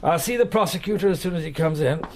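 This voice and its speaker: An actor in a radio drama playing a shrewd, relentless middle-aged detective chief, questioning deliberately with pauses in a husky, gravelly voice. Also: male voice, a little high, darkish tone, a little hiss or hum, natural voice. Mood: brisk, weary.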